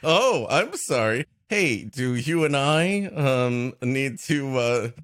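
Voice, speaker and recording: A man's voice: medium pitch, expressive, slow, a bit bright, no echo, studio quality. A man co-hosting a comedy podcast with joking, riffing banter. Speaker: podcast co-host.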